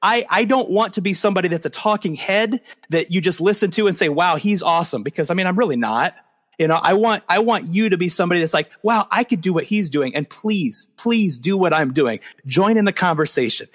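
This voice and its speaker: A man's voice: high voice, animated, a little fast, a bit bright. Rambling self-deprecating male podcast host. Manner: rambling, self-deprecating, many fillers and restarts. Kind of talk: casual podcast chat.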